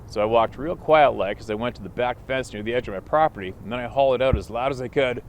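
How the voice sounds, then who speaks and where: A man's voice: medium pitch, fast talker. A man hosting a channel, reading a listener's letter aloud as a plain story, recorded outdoors. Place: outdoors by the water.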